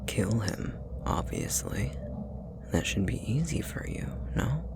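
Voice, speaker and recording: Manipulative demon, played by a male actor for whispered ASMR roleplay: very gravelly, unhurried, sweetly threatening. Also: fairly low pitch, sing-song, exaggerated voice. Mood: taunting, sadistic. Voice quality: breathy, creaky whisper. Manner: slow, taunting, mock-affectionate. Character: menacing, possessive male puppetry demon, sadistic and manipulative.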